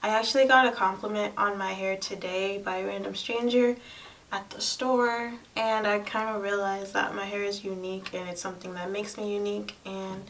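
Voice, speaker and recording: A soft-spoken young woman with a girlish voice, chatting casually and candidly to camera about personal things. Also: mid-range voice. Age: young adult. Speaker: lifestyle vlogger. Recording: distant mic, faint room noise.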